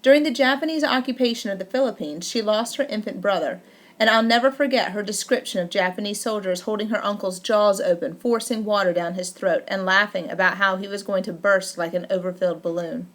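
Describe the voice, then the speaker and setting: Woman calmly narrating a memoir. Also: mid-range voice, brisk. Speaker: audiobook narrator. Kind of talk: first-person memoir audiobook reading.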